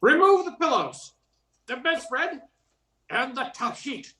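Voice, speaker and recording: Eager agent played by an older woman: animated, emphatic, mock-serious radio comedy, roomy sound. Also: sits high, slow, full character voice. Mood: urgent. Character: eager, earnest agent on a trivial household case. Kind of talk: amateur comic radio play acting.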